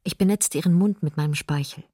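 Low, husky mother survivor. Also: brisk, no room sound, clean studio sound. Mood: subdued, grave. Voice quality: dark, husky. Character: mother who survived a massacre shielding her daughter. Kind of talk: restrained documentary radio drama testimony.

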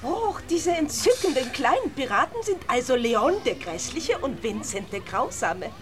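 A woman's voice: high voice, very animated, moderate pace, faint music behind. A woman playing a prim, easily frightened little doll-like creature in a children's radio drama, theatrical and old-fashioned in speech, prone to wailing and stammering. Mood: haughty, refined.

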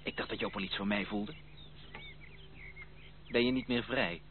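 Earnest, theatrical male suitor played by an actor, formal and animated, hissy phone-like vintage radio play recording. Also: fairly low pitch, moderate pace, close to an everyday voice. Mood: pleading. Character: ardent, earnest gentleman suitor pleading for love. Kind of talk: old radio play acting. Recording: tinny, band-limited, hissy old radio recording.

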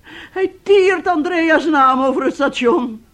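A woman's voice: high-pitched, a bit bright. An actress in a radio play voicing a despairing middle-aged mother, with a brooding, anguished way of speaking. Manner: slow, brooding, anguished storytelling. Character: despairing mother, an aunt, anguished over her missing son. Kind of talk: Dutch-language radio play acting.

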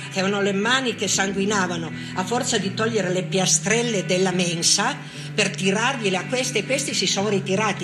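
An older woman from Italy giving colloquial, halting oral history testimony. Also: medium pitch. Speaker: witness of wartime factory life. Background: music.

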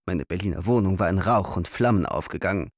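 An actor playing a vain theatre director in a radio play, speaking in a declamatory, theatrical way. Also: male voice, low voice, a little fast, no room sound, clean studio sound. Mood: recounting.

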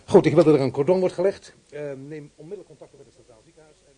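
An actor playing a brisk, methodical police chief inspector giving orders in a radio play. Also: male voice, fairly high pitch, warm tone, close to an everyday voice. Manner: brisk, commanding. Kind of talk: radio drama acting.

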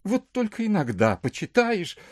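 An actor playing a sentimental, over-polite provincial landowner in an audio drama, speaking in dreamy, halting, saccharine phrases. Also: male voice, sits high, sing-song, dry recording, clean studio sound. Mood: dreamy, wistful. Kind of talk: theatrical audio drama acting.

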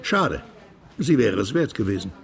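A man's voice: fairly low pitch, sing-song, normal speed, creaky. A man acting a wistful Russian émigré veteran who recalls old adventures plainly in a radio drama. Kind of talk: radio drama dialogue, storytelling.

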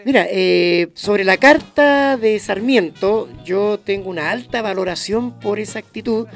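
A man's voice: high-pitched, a little slow, soft background music. A man in his fifties, a radio guest speaking earnestly with many hesitations. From Chile. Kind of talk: radio discussion.

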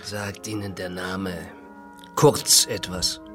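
An evasive official in a radio drama. He speaks low, in formal, measured bureaucratic phrases with a portentous weight. Music runs clearly behind the voice. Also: flat delivery. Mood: quietly commanding. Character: evasive, formal male official sending agent on mission.

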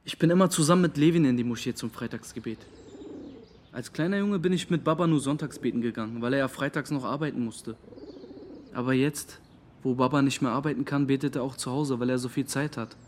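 A man's voice: mid-range voice, fairly quick, slight background noise, lightly acted. An actor playing a devout, artless young man giving testimony in a radio drama, plain and earnest. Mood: earnest, matter-of-fact.

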